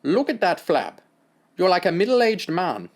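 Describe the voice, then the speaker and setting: A man's voice: high voice, very animated, measured pace, pitched above the performer's own voice, exaggerated voice. A man voicing a stern sixty-year-old German army doctor with a German accent, blunt and scornful.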